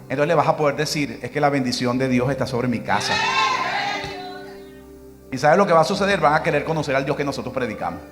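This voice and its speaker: A man's voice: medium pitch, quick pace, long pauses, music in the background. A middle-aged Venezuelan man with a Venezuelan Spanish accent, a pastor preaching and telling a personal story, acting out bits of dialogue. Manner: storytelling, quoting his wife in dialogue.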